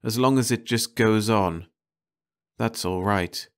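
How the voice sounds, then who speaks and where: A man plays an uneasy roadside car-shop worker in an audiobook. He talks in a nervous, self-reassuring way, with a clear voice lifted above a deep natural register. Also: slow. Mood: nervous dread. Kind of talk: audiobook character voice.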